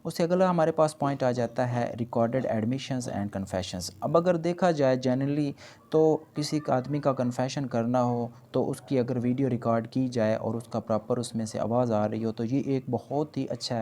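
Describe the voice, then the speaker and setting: A man's voice: mid-range voice, normal speed, dark tone. A man with a Pakistani accent giving an explanatory law lecture, reading points aloud carefully and explaining them conversationally. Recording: noticeable background noise.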